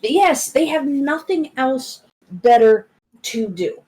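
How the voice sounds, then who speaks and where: A woman in her thirties chatting casually as a guest on a live stream, blunt and animated, often cutting in on the others. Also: sits high, slow pace, husky. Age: 30s. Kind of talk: casual chat.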